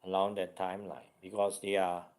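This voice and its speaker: A man guiding a meditation as a teacher, speaking deliberately in short, halting phrases with much repetition. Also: deep voice, level tone, darkish tone.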